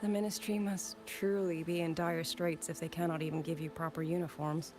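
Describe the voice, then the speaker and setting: Soft, low-voiced wistful woman warrior-musician played by an actress. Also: little pitch movement, fairly quick, noisy background, natural voice. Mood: calm, wry. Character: gentle, wistful widowed musician turned soldier. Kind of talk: scripted game dialogue.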